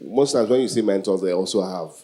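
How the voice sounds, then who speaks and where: Mellow Nigerian man hosting on stage. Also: low voice, fast. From Lagos, Nigeria. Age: early 40s. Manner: joking, repetitive, rhetorical questions to the crowd. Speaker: event co-host, master of ceremonies. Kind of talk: live stage hosting with improvised banter.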